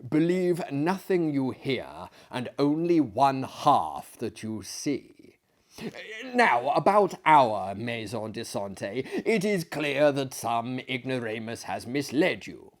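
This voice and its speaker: A man's voice: medium pitch, sing-song, slow pace. A man voicing a genial, pompous older asylum director in a theatrical story reading, lecturing with emphasis. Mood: proud, patronising. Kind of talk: theatrical storytelling of a classic tale.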